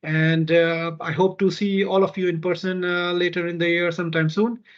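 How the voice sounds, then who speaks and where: A man with a fairly high voice presents to an online gathering without a script. He speaks haltingly, pausing as he goes, and the call audio is dull and lo-fi. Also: level tone. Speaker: chapter representative presenting.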